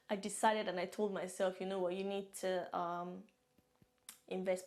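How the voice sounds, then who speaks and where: A woman's voice: medium pitch, darkish tone. Chatty Nigerian woman telling her story. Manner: chatty, laughs often, repeats herself. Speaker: vlogger. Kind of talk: casual storytelling to camera. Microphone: camera-mounted shotgun mic.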